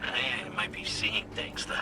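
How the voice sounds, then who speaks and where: An actor playing an eager, rueful informant in a video game, talking in a hesitant, chatty, excitable way. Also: male voice, a little slow, heavy background noise, natural voice. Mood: nervous. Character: eager, rueful male informant pleading his good faith. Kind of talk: video game dialogue acting.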